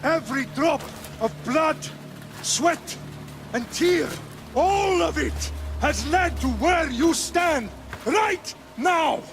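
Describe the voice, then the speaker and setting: A man's voice: extremely high pitch, lively intonation, slow pace, noisy background, exaggerated voice. An actor playing a defiant Spartan warrior king in a game, delivering emphatic oratory to soldiers before battle. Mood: solemn rousing. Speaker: military leader. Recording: loud score and effects behind. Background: dramatic music.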